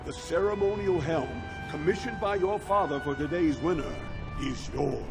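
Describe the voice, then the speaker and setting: A man's voice: a little high, moderate pace, creaky. A man playing a grand, solemn dwarf announcer in a fantasy game, speaking in a formal, declamatory way. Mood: formal praise. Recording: music behind the voice.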